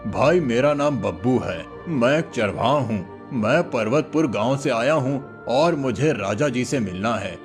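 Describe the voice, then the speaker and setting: A humble, honest cowherd from a cartoon village tale, voiced by a man. He has a medium-pitched voice and a polite, sincere manner, over faint music. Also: lively intonation, measured pace, few pauses, natural voice. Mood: earnest. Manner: polite, earnest.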